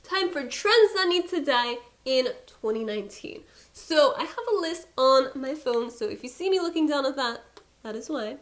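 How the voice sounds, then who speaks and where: A woman's voice: sits high, lively intonation, moderate pace. A young woman with a Persian accent, a beauty YouTuber talking casually to camera, chatty and exasperated with playful asides.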